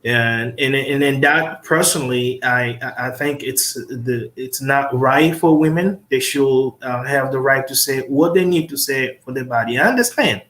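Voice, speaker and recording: A man from the Congo, a guest lawyer, talking in a casual panel discussion with many pauses and fillers. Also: mid-range voice, fairly bright tone. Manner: hesitant, many fillers, emphatic asides. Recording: roomy echo.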